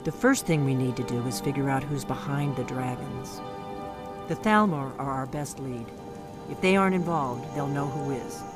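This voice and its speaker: A woman's voice: expressive, moderate pace. A woman voicing a secretive, middle-aged veteran of an old order of guards, speaking in a blunt, conspiratorial video game dialogue. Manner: blunt, conspiratorial, low intense delivery. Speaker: game character. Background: game music.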